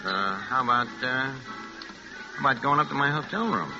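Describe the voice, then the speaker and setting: An actor in a 1940s-style radio drama playing a brash, streetwise New swindler, slangy and full of stammering bluster. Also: male voice, mid-range voice, moderate pace, lightly acted. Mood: flirtatious. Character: brash, streetwise American swindler narrating his own scheme. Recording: dull vintage radio recording with music.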